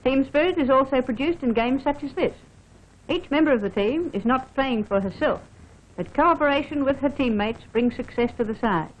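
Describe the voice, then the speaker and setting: A woman's voice: sits high, lively intonation, normal speed, slight background noise. A woman officer from Australia reads scripted training film narration, formally instructing the listener directly. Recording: dull band-limited vintage film soundtrack.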